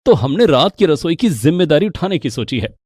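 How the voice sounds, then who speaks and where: A man's voice: a little high, very animated, a little fast, a little mellow, husky, no echo, clean studio sound. A man playing a responsible, earnest adult son in a cartoon story, explaining things emphatically. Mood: earnest, firm.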